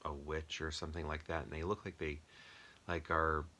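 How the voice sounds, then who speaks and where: A man giving a casual, off-the-cuff film review, rambling and often pausing to search for words. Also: extremely low pitch, little pitch movement, pushed and bright, a little hiss or hum.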